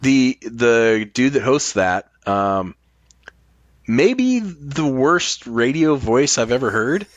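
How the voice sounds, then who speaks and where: A man's voice: mid-range voice, expressive, takes it slowly, no room sound. A man chatting casually as a podcast host, blunt and emphatic, swearing casually. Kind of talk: casual chat.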